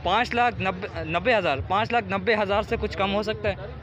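A man's voice: high-pitched, fairly quick, music in the background. A man with a Karachi Urdu accent, filming a walkaround of cars at an outdoor car bazaar on a handheld phone, repeating prices and details back as he asks sellers questions. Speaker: vlogger touring a car market. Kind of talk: casual walkaround car review.

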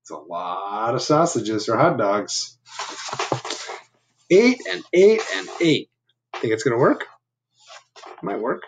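Man, fifties, children's read-aloud, very varied pitch, slow pace, questioning asides, home webcam. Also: sing-song, gravelly, a little hiss or hum. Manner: asking the listeners gentle questions. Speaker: teacher reading aloud to children. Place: living room at home. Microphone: laptop webcam at arm's length.

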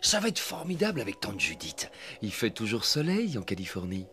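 Expressive, reassuring cartoon dad. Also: male voice, on the low side, fairly quick, faint music behind, exaggerated voice. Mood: encouraging. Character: gentle, encouraging father of young children. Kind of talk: French cartoon dub acting.

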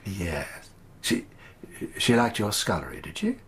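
A man playing a kindly, middle-aged English gentleman doctor in a radio drama, gentle and coaxing, with an English accent. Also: fairly low pitch, huge pitch swings, unhurried, husky, heavily acted. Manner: gentle, coaxing, softly hesitant.

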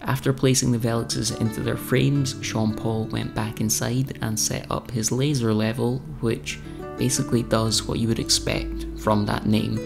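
A young man narrating his own renovation vlog in a relaxed, scripted voice-over with dry humour. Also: medium pitch, moderate pace, gravelly, music in the background. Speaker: renovation vlogger.

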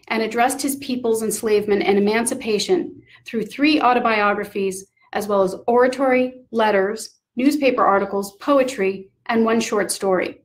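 A woman presenting scripted historical narration in a formal, deliberate way. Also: mid-range voice.

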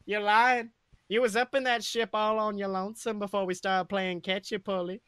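A man puts on a scaly, childlike cave creature for a comic story. The voice is high and bright, wheedling and eager with a menacing edge, and it refers to itself in the third person. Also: little pitch movement, normal speed, smooth, dry recording, studio quality. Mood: accusing. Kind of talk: comedic fan fiction character reading.

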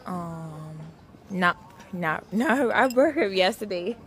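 A woman's voice: medium pitch, lively intonation, slow pace, a bit bright, husky. Giggly, boastful woman in her twenties. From Nigeria and Morocco. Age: 20s. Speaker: interviewee. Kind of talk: comic street interview. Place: outdoor terrace. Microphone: handheld mic.

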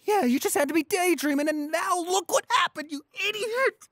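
A high, tearful young male voice, close and dry, acted by a man as a shy boyfriend in an audio roleplay. He is panicked and self-blaming, stammering and repeating himself in distress. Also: normal speed, a bit bright, dry recording. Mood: tearful self-reproach.

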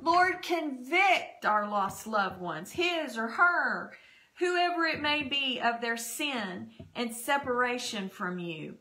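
Woman pastor, middle-aged, slow and bright, fervent prayer at a home desk mic. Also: on the high side, lively intonation, rough recording. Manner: fervent, prayerful, citing scripture verses. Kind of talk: spoken prayer and testimony on a church broadcast. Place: home dining room. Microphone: desk podcast microphone.

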